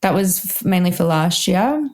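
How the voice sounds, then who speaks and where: A woman's voice: lowish voice, lively intonation, normal speed, darkish tone. A young woman with an Australian accent, chatting upbeat and casually as an interview guest, with lots of "like" fillers. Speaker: guest, wedding violinist.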